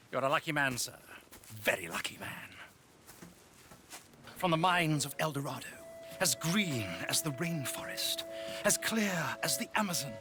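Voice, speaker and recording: A pompous British showman, high-voiced. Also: full character voice. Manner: pompous, florid. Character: theatrical, shifty, flattering male circus showman in grease paint. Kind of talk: theatrical video game character acting.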